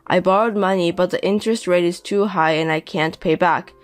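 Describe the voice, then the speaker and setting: A woman voicing a 57-year-old indebted deadbeat father who pleads and pities himself, speaking slowly. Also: fairly low pitch, steady tone, faint music behind, close to an everyday voice. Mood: pleading. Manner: pleading, self-pitying, slow.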